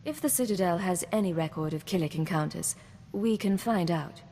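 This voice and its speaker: A woman's voice: fairly low pitch, normal speed, warm tone, big-room sound, slight background noise, close to an everyday voice. An actress voicing a composed, direct female imperial secret agent in a video game. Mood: composed, questioning. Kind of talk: scripted video game voice acting.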